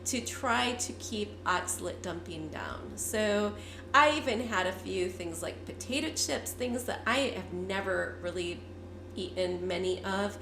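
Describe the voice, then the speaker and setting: A fifty-year-old woman, hoarse and congested from a cold, chatting candidly to her viewers. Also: medium pitch, unhurried, fairly bright tone, reverberant, slight background noise. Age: 50s. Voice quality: raspy. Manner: candid, chatty, with frequent fillers. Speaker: vlogger. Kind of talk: casual talk to camera.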